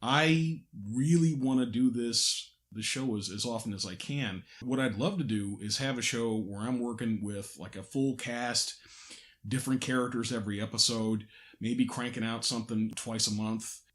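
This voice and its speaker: A man's voice: medium pitch, normal speed, fairly bright tone. A man chatting as a podcast host and voice actor, agreeable and given to short affirmations. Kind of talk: behind-the-scenes podcast chat.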